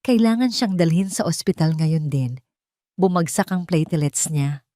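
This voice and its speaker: A woman's voice: on the low side, lively intonation, moderate pace, dark tone, no echo, studio quality, natural voice. A woman with a Filipino accent voicing a suspicious, urgent school nurse in an audio drama, wary and insistent. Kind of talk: audio drama character acting.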